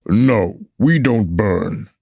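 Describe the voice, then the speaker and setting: An officious, unbothered collector of banned books, played by a man in a puppet musical. He speaks slowly and dismissively in a lowish voice, recorded close and dry. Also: lively intonation, vocal fry, a little mellow, no echo, full character voice. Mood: matter-of-fact. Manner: deliberate, dismissive, matter-of-fact. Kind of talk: musical puppet show stage acting.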